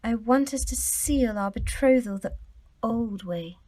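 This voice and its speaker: An actress playing a sweet, shy young woman in a period audio drama, softly spoken and demure. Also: a little high, measured pace. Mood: shy, resolved. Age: young adult.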